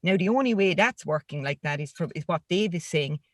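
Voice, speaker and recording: A woman's voice: deep voice, animated, a little fast, no echo, clean studio sound. A woman with a rural Irish accent speaking earnestly and emphatically as a panelist in a discussion. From Ireland.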